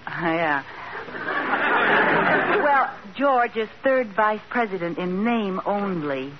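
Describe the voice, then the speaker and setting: An actress playing a boastful, fibbing housewife in an old radio comedy, bluffing breezily with comic hesitations. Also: female voice, mid-range voice, animated, slow pace, a loud crowd. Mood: confiding, boastful. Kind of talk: 1940s radio comedy acting. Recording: noisy, dull old radio recording.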